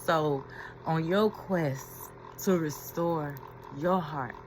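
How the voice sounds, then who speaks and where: A woman's voice: deep voice, extremely slow, a little hiss or hum, low-quality recording. A woman giving a reflective inspirational talk as a spiritual healing coach, repeating key phrases and laughing as she retells a story.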